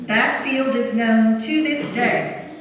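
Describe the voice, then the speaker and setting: A woman's voice: a little high, takes it slowly, a bit bright. A woman reading scripture as narrator in a solemn dramatic style in a church. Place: large church during a service. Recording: very echoey church, distant, faint noise.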